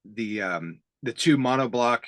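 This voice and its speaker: A man hosting a casual conversational interview, speaking haltingly with a self-deprecating, enthusiastic manner. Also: mid-range voice, expressive, dry recording. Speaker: interview host. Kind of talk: casual conversational interview about tape gear.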